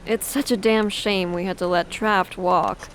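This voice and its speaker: A woman's voice: medium pitch, steady tone, unhurried, slight background noise, natural voice, poor audio. A woman playing a no-nonsense, sardonic senior police officer in an audio drama. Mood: stern. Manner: firm, dry, sardonic.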